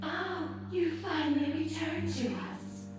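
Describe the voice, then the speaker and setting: Guy doing a spooky possessive ghost lady, slow n high, drenched in echo, loud music behind. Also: sing-song, exaggerated voice. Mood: beckoning. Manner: slow, reverent, beckoning. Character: eerie possessive ghostly woman, monstrous and beckoning. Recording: heavy echo effect under loud music.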